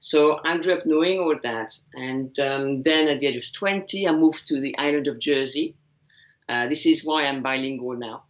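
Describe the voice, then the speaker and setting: A woman from France with a French accent, a guest speaking in English on a video call, pausing often with fillers. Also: rumbling low. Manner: hesitant, many fillers. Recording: dull lo-fi call audio.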